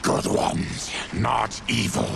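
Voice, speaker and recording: A man playing a grim, afflicted outcast guide in a video game, terse and solemn with a gravelly voice. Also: lowish voice, slow, heavy background noise, exaggerated voice. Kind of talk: video game cutscene acting. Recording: game music underneath.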